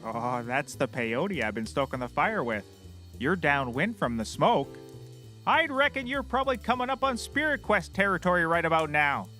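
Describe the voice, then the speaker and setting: Man acting dubious male private eye, audio comedy, folksy, jaunty, bright sharp voice, very animated. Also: a little high, normal speed, soft background music. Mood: pleased, bossy. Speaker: lead character.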